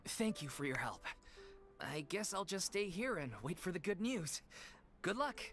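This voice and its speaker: An earnest respectful troupe leader. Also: male voice, high-pitched, very animated, normal speed, fairly bright tone, faint music behind, exaggerated voice.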